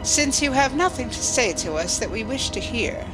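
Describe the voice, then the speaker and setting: High, formal actress as a logical alien officer. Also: female voice, animated, moderate pace, over music, lightly acted, low-quality recording. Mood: formal, detached. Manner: formal, precise, unemotional. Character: coolly logical alien female junior starship officer. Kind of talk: science fiction audio drama acting.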